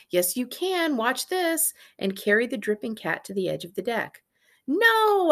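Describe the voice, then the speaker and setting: Casting: woman librarian, lively storybook read-aloud, swooping intonation, gravelly fry, informal asides. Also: mid-range voice. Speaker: school librarian reading aloud. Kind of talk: animated children's book read-aloud with casual asides.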